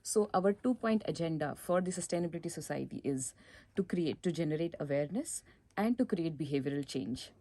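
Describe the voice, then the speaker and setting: A woman's voice: sits low, animated, a little fast, warm tone, dry recording. A woman from Mumbai, explaining things earnestly with some fillers as society president on a campus radio chat show. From India, Mumbai.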